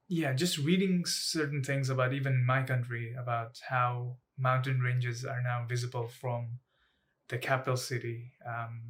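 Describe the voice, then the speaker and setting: Young male student speaker. Measured, pausing phrasing, level intonation, mid-range voice, earnest advocacy talk. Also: medium pitch, fairly bright tone. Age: young adult. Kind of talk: personal advocacy talk to camera.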